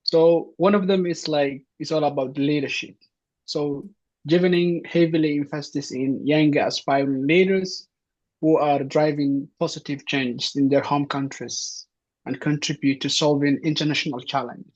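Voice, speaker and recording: A man from Somalia gives an informational talk to an online audience, pausing often with fillers and restarting his sentences. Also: a little high, steady tone. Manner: hesitant, many fillers and restarts. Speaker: presenter. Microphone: video call. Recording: dull lo-fi online session.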